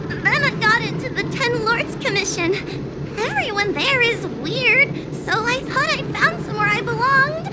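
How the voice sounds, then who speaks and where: A woman's voice: very high voice, lively intonation, a little slow, rough recording. A woman playing a timid, tearful young exorcist girl in a video game, meek and hesitant. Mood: tearful, anxious. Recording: loud music behind the voice.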